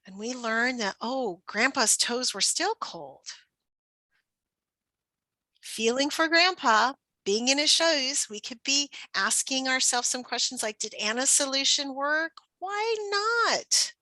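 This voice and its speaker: A woman's voice: sits high, bright, forceful tone, no room sound. A woman giving an instructional presentation for educators, often posing questions as if to young children. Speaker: teacher trainer presenting a workshop.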